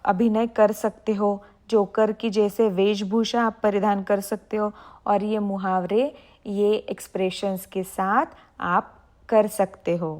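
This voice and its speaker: A young woman teacher explaining idioms to children, slowly repeating sentences and asking her pupils questions. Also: medium pitch, little pitch movement, darkish tone.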